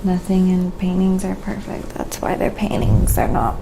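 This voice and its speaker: A soft-spoken, sometimes whispery woman chatting casually on an ASMR painting livestream, musing aloud in an unhurried way. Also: on the low side, lively intonation, vocal fry, noisy background.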